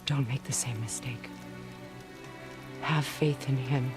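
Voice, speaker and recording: A woman playing a wise, sorrowful, motherly witch in a video game, speaking in a measured, solemn and tender way. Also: very deep voice, steady tone, music in the background. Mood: sorrowful, confiding. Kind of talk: dramatic video game cutscene acting.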